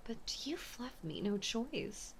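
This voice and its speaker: A woman playing an obsessive, possessive mafia heiress ex-lover in an intimate audio drama, speaking with menacing sweetness and often slipping toward a breathy whisper. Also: fairly high pitch, sing-song, slow pace, full character voice, low-quality recording. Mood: falsely tender. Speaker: voice actor. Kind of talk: intimate dramatic roleplay monologue.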